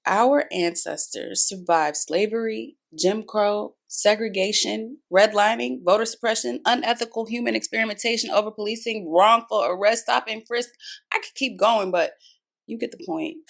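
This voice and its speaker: A woman hosting, giving an earnest, measured commentary voice-over. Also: mid-range voice, creaky. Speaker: host.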